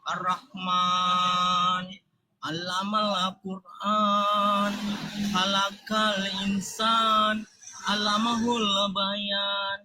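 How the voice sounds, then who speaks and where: A man's voice: high-pitched, monotone, very slow, music in the background, poor audio. A man calling in to a live debate, reciting Arabic verses and insisting on his point. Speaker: caller. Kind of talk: argumentative call-in on a live stream.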